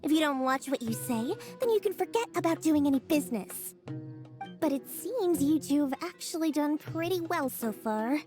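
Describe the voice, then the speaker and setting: High-pitched, sly little merchant girl. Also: animated, moderate pace, a little mellow, faint music behind. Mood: indignant, probing. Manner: theatrical, wheedling, smug salesmanship. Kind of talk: video game voice acting.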